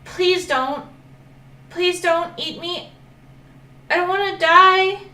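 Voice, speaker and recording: A woman voicing a small, frightened young dragon that pleads in high cries. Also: expressive, slow, heavily acted. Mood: pleading. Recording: echoey room, heard from a distance.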